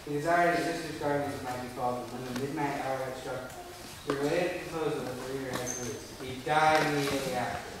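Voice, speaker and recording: A man's voice: mid-range voice, steady tone, quick pace, lightly acted. A teenage boy playing a young physician who speaks formally, like a recitation, in an amateur school stage drama. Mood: grave. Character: Doctor Polidori, young male physician telling a gothic tale. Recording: echoey hall from far off, noisy.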